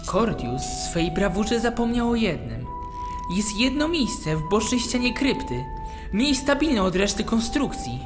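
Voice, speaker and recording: A woman playing an eager, talkative, hopeful young male prisoner in a fantasy audio drama, excitable and insistent. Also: mid-range voice, measured pace, over music.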